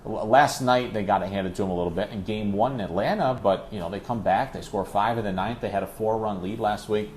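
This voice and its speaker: A man's voice: lowish voice, fairly quick, hardly any pauses, a little hiss or hum. A man working as a radio play-by-play announcer, talking sports as a guest in an emphatic, conversational way with rhetorical questions. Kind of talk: animated sports discussion.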